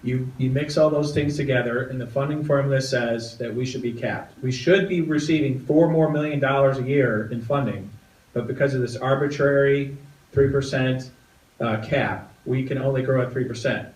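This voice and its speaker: A man answering an audience question about school funding in a calm, factual way. His voice sits at a middle pitch. The sound is echoey and distant. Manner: measured, factual explanation. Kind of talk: explaining school funding at a meeting. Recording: roomy, distant, with faint noise.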